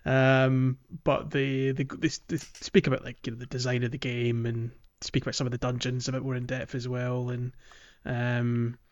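Male podcast host, casual and rambling game chat, mid-pitched voice, slightly muffled lo-fi recording. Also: completely flat delivery, normal speed, hardly any pauses. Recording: dull, lo-fi audio.

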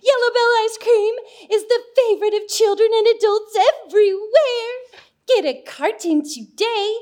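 A woman voicing an exaggerated ice cream commercial announcer for a puppet show, with a drawn-out sales pitch. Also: a little mellow. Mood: gushing.

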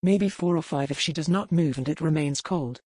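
A woman voicing a calm, practical male courtier in an audiobook. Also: deep voice, moderate pace, breathy edge, dry recording, clean studio sound.

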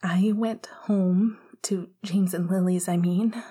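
A woman's voice: fairly low pitch, fairly even intonation, slow, breathy edge. A woman whispering as a tender, choked-up young man. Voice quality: soft whispery delivery. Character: emotional young man fighting back tears, tender.

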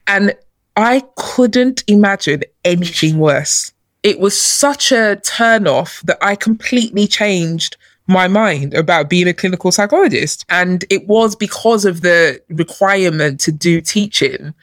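Lively woman telling her story. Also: fairly low pitch, measured pace, no echo, clean studio sound. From born in Nigeria, raised in London. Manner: lively storyteller, laughs often. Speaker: guest, chief executive. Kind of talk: casual podcast interview.

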